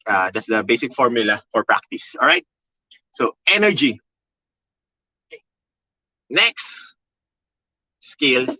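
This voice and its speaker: A man with a Filipino accent and a loud voice, teaching a motivational webinar with emphatic, high energy, repeating key phrases and often checking in with okay and all right. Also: dry recording, poor audio. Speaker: content creation coach.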